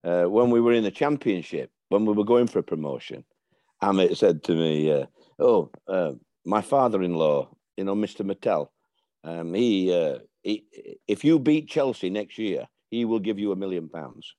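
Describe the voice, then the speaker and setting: A man's voice: low voice, moderate pace, dry recording. An older man, telling football stories in casual chat and acting out the dialogue. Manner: animated storyteller, acts out dialogue.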